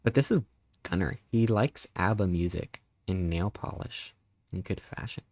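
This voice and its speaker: A man in his thirties, a live streamer chatting casually and greeting viewers, rambling playfully with sudden squeaky jumps in pitch. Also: animated, moderate pace, a little mellow, dry recording. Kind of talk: casual live-stream chat reading chat messages.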